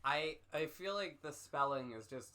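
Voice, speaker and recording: Bright, sharp-voiced guest joking casually. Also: medium pitch, normal speed, slight background noise. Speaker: guest commentator.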